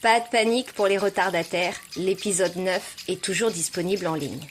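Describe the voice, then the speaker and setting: A woman's voice: on the low side, moderate pace, slight background noise. A woman hosting a podcast, delivering scripted educational narration with playful asides, speaking informally and directly to the listener. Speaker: podcast host.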